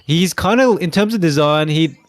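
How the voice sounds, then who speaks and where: Male guest, easygoing and a touch hesitant, smooth voice, dry close sound. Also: on the high side, normal speed, no echo. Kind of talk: casual chat.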